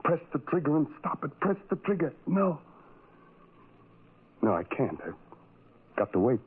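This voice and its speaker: A man's voice: a little high, sing-song, unhurried, breathy edge, full character voice. Over a tinny, narrow old radio recording comes a tormented, lonely soul. He broods in incantatory, repeated phrases, full of self-loathing, in the manner of a radio horror play. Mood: desperate. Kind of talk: 1970s radio drama monologue.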